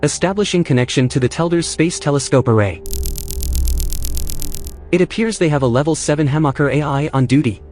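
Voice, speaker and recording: A man's voice: a little high, a little fast, long pauses, a little mellow, natural voice. A man playing an eager, loyal AI sidekick in an audio drama, polite, earnest and precise. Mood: anxious. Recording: music under the dialogue.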